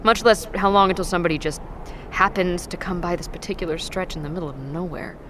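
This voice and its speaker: A woman's voice: fairly low pitch, fast talker, natural voice. A woman playing a hopeful, level-headed survivor in an audio drama. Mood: wry, practical. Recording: clear background noise, vehicle ambience.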